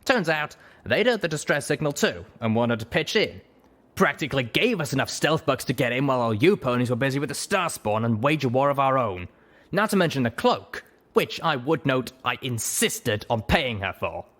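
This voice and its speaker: A man voicing a brisk, wry female griffin mercenary leader in a storybook reading, chatty and blunt. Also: medium pitch, sing-song, fairly bright tone, voice pushed up. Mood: wry, tolerant.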